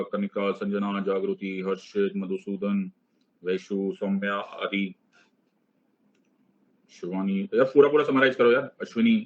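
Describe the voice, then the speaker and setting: A man's voice: lowish voice, dull audio. A middle-aged man teaching an online exam-prep class, playful and teasing as he switches between Hindi and English. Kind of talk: live online class lecture.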